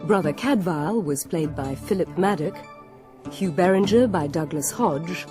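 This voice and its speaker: A woman's voice: lowish voice, lively intonation, a little slow. A woman with a British accent making a formal, scripted announcement as a radio announcer. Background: music.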